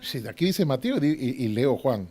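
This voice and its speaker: A man teaching a Bible class in a preaching style. He often asks the class to agree and moves between loud and hushed delivery. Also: medium pitch, big pitch swings, moderate pace. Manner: swings from loud to hushed, with short laughs.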